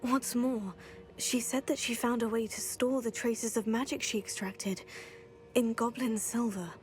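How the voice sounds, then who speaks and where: A woman's voice: a little high, steady tone, unhurried, darkish tone, lightly acted. An actress playing an earnest, poised young witch student in a video game, articulate and polite. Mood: explaining, composed. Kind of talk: scripted video game acting.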